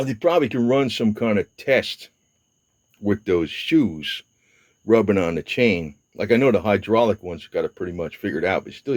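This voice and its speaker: An older man in his seventies rambles through a casual video update, full of fillers, restarts and mild swearing. His voice sits very low. The sound is dry, with almost no room echo. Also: darkish tone. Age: 70s. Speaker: motorcycle vlogger. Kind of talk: casual rambling talk to camera.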